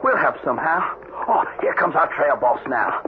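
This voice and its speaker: An actor playing a kindly, protective young tenderfoot cowhand in an old radio western, polite, folksy and eager. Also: male voice, on the high side, very animated, normal speed. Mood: kindly, reassuring. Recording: muffled, noisy old radio broadcast.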